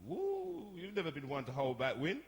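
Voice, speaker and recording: A man's voice: a little high, huge pitch swings, moderate pace, creaky, exaggerated voice. A man playing an exasperated, outspoken young older brother in a radio drama, chiding and emphatic. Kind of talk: radio soap acting before a live audience. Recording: echoey hall, long reverb.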